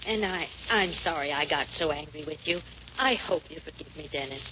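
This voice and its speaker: An actress playing an apologetic middle-aged woman in a 1940s radio comedy, speaking softly. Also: sits low, normal speed, close to an everyday voice. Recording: muffled 1940s radio broadcast, noisy.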